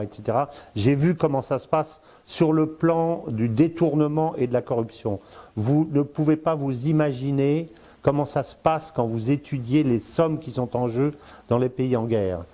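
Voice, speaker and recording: An older man in his sixties gives his commentary at length, speaking straight to the listener. His voice is mellow, and his flow keeps breaking on fillers and repeated words before he picks the thread back up. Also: mid-range voice. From born in Congo, lived in Africa, Spain, Brazil. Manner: explains at length, addresses the listener directly. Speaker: strategic affairs commentator, guest. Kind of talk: casual spoken commentary.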